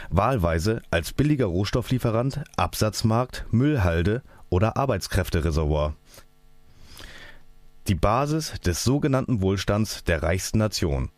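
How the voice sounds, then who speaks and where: A man's voice: fairly low pitch, darkish tone, dry recording. A man speaking as an activist, delivering a scripted political rally speech.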